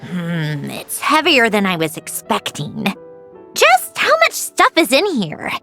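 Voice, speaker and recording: A woman's voice: medium pitch, huge pitch swings, slow pace, bright, forceful tone, heavily acted. A proud young female mechanic from a video game. Her delivery swings expressively between dry precision and nostalgia, sure of her own brilliance. Music plays behind her. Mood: curious, smug. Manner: self-assured, precise, nostalgic. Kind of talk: scripted video game dialogue.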